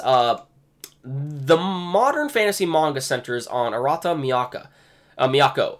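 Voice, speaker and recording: Chatty man with a lively, tiring voice. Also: on the high side. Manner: chatty, many fillers and restarts, reads text aloud. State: losing his voice. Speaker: anime streamer. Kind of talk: casual live stream commentary.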